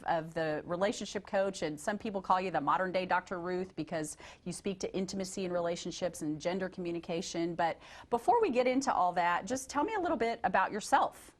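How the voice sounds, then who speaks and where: A woman's voice: lowish voice, fairly quick, few pauses. Upbeat middle-aged woman hosting a TV show. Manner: upbeat, encouraging interviewer. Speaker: TV host and nurse practitioner. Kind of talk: TV interview hosting.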